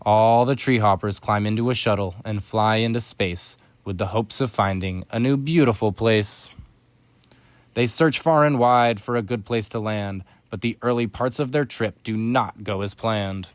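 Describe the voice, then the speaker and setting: A man's voice: fairly low pitch, normal speed, dry recording. A man narrating a rhyming children's story as a scripted read-aloud.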